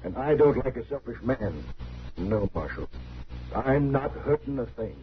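An actor playing a stubborn, aggrieved travelling tonic peddler in a 1950s radio western, with a folksy, insistent, rustic way of talking. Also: male voice, medium pitch, animated, measured pace, dull audio, close to an everyday voice. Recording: band-limited old radio recording, faint noise.

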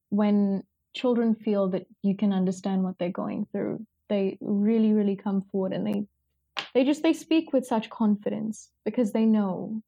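A woman's voice: mid-range voice, creaky, mellow, dry recording, dull audio. A woman guest, a child advocate, speaking in a casual interview, hesitant and searching for words.

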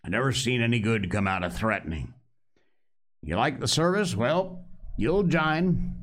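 A man's voice: medium pitch, expressive, measured pace, pitched above the performer's own voice, close to an everyday voice. A man voicing a cunning old male pirate captain who talks in wheedling nautical slang. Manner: sly, gracious, nautical slang.

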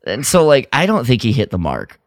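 A man co-hosting a podcast in casual review chat, halting as he thinks aloud and gives his opinions. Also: mid-range voice, huge pitch swings, dry recording, studio quality. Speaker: podcast co-host. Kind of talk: casual review chat about a radio drama.

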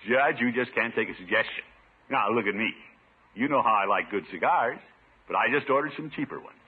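An actor playing a pompous, blustering middle-aged uncle who chuckles and preaches in a 1950s radio sitcom. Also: male voice, mid-range voice, expressive, a little fast, breathy edge. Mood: smug. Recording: muffled 1950s radio broadcast.

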